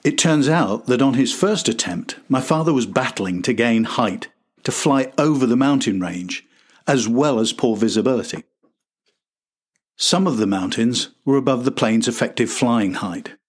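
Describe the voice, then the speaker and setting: Husky-voiced man narrating a documentary. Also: mid-range voice. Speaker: podcast host and narrator.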